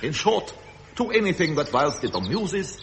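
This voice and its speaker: Formal victorian dignitary, pedantic, very varied intonation, big hall reverb, bit of noise. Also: male voice, a little high, big pitch swings, moderate pace. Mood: stern.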